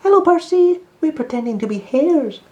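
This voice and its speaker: A man voicing excitable young rabbit brothers in a children's storybook, exclaiming loudly and easily worked up. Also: extremely high pitch, normal speed, highs cut off, voice pushed up. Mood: playful. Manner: excitable, exclamatory wailing and cheering. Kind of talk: children's storybook read-aloud.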